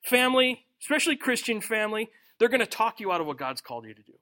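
Man, pastor, sermon, animated, lively swooping pitch, middle-aged. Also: fast. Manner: animated, acts out quoted voices. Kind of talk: expository sermon.

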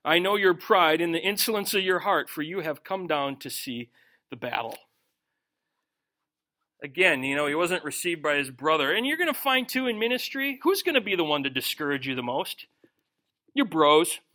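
A middle-aged man preaching a sermon, reading scripture and then commenting in an animated way, often acting out the voices he quotes. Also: fairly high pitch, moderate pace. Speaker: pastor. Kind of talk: expository sermon.

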